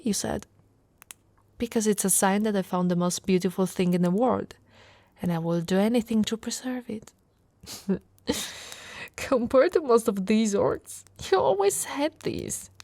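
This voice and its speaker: Woman playing a slow, creaky, tender orc wife. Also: medium pitch, no echo. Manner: soft, slow, intimate, often breathy with soft chuckles. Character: big tender orc wife, tough but playful and affectionate. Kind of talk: ASMR roleplay.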